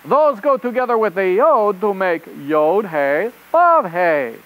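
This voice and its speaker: A performer voicing an excitable, easily flustered rabbit reporter puppet in a children's show, with a theatrical delivery and a put-on French accent. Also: medium pitch, slow pace, background noise. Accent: fake French. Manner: theatrical, exclamatory.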